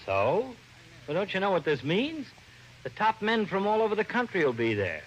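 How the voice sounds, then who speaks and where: An actor playing a gruff American police lieutenant putting on stiff, self-important dignity in 1940s radio style. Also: male voice, high voice, wildly swooping pitch, moderate pace, lo-fi sound. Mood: proud, eager. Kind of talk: 1940s radio detective acting. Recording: noisy old-time radio recording.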